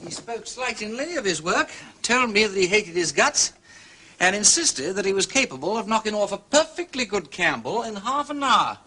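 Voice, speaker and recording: Posh english gentleman detective, radio play, really lively swoopy delivery, jaunty and theatrical, noisy recording. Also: sits high, moderate pace, heavily acted. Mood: eager, relieved. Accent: upper-class English. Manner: theatrical, exclamatory, playful old-fashioned aristocratic phrasing. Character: jaunty aristocratic English gentleman sleuth, breezy and inquisitive. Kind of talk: period radio drama acting.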